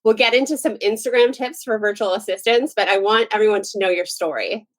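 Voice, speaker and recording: A woman's voice: medium pitch, fairly quick. A woman hosting a podcast, talking candidly and upbeat in a conversational way. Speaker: podcast host. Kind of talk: podcast intro and interview.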